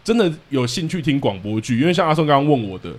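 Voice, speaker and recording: A man with a Taiwanese accent chatting casually as a podcast co-host, in an animated, self-deprecating, jokey way. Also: mid-range voice, quick pace. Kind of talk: casual chat.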